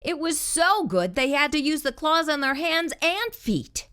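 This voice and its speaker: A woman reading a children's picture book aloud as a storyteller, in an animated way with theatrical emphasis. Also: sits high, measured pace.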